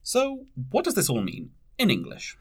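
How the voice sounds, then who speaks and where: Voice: mid-range voice, wildly swooping pitch, moderate pace, slightly rough, faint music behind. Polite formal train captain, audio drama, kinda awkward, voice swings up and down loads. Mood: gentle, courteous. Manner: formal, courteous phrasing.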